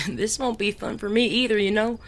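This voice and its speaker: An actor playing a suave, teasing male gentleman bandit in a Western audio drama, genteel and wry. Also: medium pitch, expressive, normal speed, a little hiss or hum, natural voice. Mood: dry, teasing. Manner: genteel, wry, polite wording.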